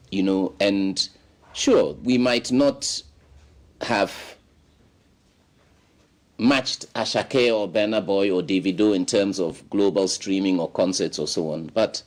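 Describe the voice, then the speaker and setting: A man from Ghana, a guest in a studio interview, telling long stories in an expansive way full of "you know"s. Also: lowish voice. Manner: expansive, animated storytelling with many "you know"s.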